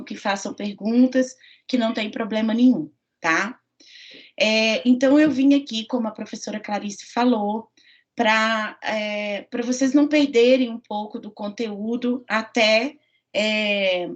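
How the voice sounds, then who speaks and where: A middle-aged woman with a Minas Gerais accent, a university professor giving an online lecture in a friendly way, full of fillers and tag questions. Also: fairly high pitch. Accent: Minas Gerais Portuguese. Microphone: computer mic on a video call. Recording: dull lo-fi video call audio.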